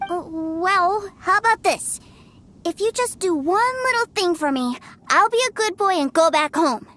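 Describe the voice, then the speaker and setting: Stubborn, excitable little boy; very high, bouncy voice, eager and pleading. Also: measured pace, exaggerated voice. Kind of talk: video game voice acting.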